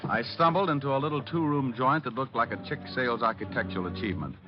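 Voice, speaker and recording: An actor playing a breezy, wisecracking private detective in 1940s radio style, flippant and deadpan. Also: male voice, mid-range voice, quick pace, natural voice. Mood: wry narrating. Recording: muffled old radio recording with music.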